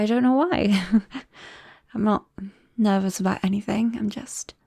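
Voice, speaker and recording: A young British woman acting in an intimate ASMR roleplay as a nervous, affectionate friend with a secret, soft-spoken and hesitant, close to the microphone. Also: mid-range voice, vocal fry, no echo. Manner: hesitant, soft-spoken, breaking into whispers and small nervous laughs. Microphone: close-up microphone, dry.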